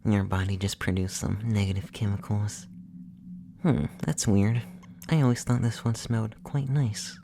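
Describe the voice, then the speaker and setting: Casting: performer as gentle, effeminate young male alien abductor, ASMR roleplay; mellow half-whisper, soothing matter-of-fact delivery, some background noise. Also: mid-range voice, steady tone. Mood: calm, curious. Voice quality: soft, breathy, often whispered. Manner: slow, soothing, softly spoken, matter-of-fact.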